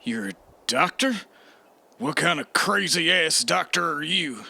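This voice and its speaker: A man playing a gruff, raspy captured soldier in a post-apocalyptic audio drama. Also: on the high side, animated, slow pace, bright, forceful tone, heavily acted. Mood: alarmed, incredulous.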